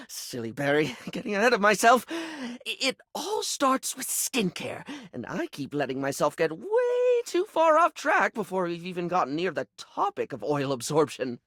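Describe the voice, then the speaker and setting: A man voicing a flamboyant but insecure young male makeup character in a dating video game, theatrical, stammering and self-deprecating, with a breathy, creaky voice. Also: sits high, huge pitch swings, unhurried, fairly bright tone, no room sound, studio quality, exaggerated voice. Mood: flustered.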